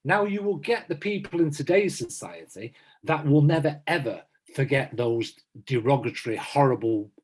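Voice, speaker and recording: A man's voice: mid-range voice, a little mellow, slightly rough. A man in his fifties from south Manchester who talks emphatically as a podcast co-host, repeating himself to stress his points. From south Manchester, England. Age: 50s. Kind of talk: casual chat.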